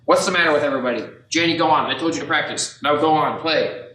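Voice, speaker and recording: A man's voice: a little high, quick pace, sharp tone. A man playing a harried, short-tempered family man in a radio play, agitated and urgent. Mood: angry. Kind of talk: audio-only radio play acting. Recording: echoey room, faint noise.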